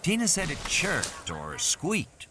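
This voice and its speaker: An earnest, worried father in a storybook, read by a male narrator. He talks plainly and anxiously, pitched above the reader's normal voice, with clear background noise. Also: huge pitch swings, takes it slowly, heavily acted.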